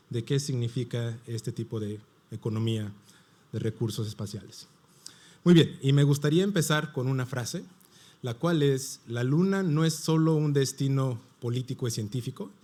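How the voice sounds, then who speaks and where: A man's voice: mid-range voice, warm tone. A middle-aged man from Mexico with a Mexican Spanish accent, giving a conference panel presentation in an explanatory way and asking and answering his own questions. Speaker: panel speaker.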